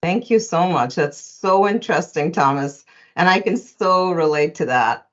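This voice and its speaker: A woman's voice: fairly low pitch, expressive, a little slow, lo-fi sound. A woman from India co-hosting, welcoming a guest and asking questions expressively. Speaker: co-host.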